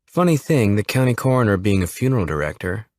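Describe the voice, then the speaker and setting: A wry female army investigator with a teasing edge, read by a man in a low voice close to his own. She ribs her partner dryly. The recording is dry and close. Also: very animated, fast talker, dry recording.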